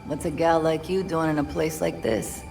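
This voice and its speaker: An actress playing a weary, loyal policewoman in a video game, with a gravelly voice and dry, streetwise, plain-spoken delivery. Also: low voice, fairly even intonation, moderate pace, a little mellow. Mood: wistful, wry. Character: weary, world-worn female police officer, wry and loyal. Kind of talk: video game cutscene acting. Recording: music behind the voice. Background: music.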